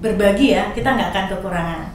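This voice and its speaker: A woman's voice: mid-range voice, fast. A woman hosting a casual talk show, agreeing and summing up what others say. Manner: agreeing, summarizing others' points. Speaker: host. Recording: very echoey, distant mic, noisy.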